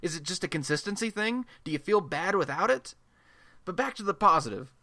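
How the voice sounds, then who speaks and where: A man's voice: sits high, expressive, brisk, bright, forceful tone, no room sound, full character voice. A man playing a chatty, self-deprecating young American amateur radio blogcaster in a superhero radio play, talking in an informal, wisecracking podcast style. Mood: incredulous teasing. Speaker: radio show host.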